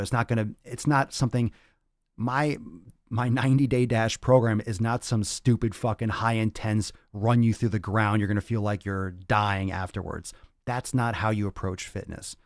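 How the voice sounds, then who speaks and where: A man's voice: mid-range voice, darkish tone, dry recording, studio quality. A man speaking as a fitness coach and podcast host in a blunt, emphatic, profane rant, often repeating phrases to drive points home. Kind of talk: motivational rant to livestream audience.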